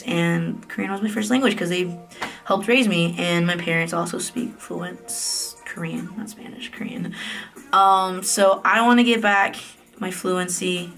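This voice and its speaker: A woman's voice: on the low side, fairly bright tone, low-quality recording. Chatty American woman in her late twenties. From United States. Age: late 20s. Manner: chatty and candid, with frequent fillers and self-corrections. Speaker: vlogger. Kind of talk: casual vlog update. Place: office private room at night.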